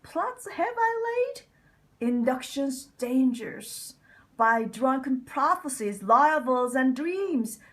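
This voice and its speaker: Woman, fairly high voice, very slow pace, dramatic Shakespearean recitation, bitter scheming villain monologue, whispery phrase endings. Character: bitter, scheming deformed nobleman plotting villainy. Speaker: amateur Shakespeare reader.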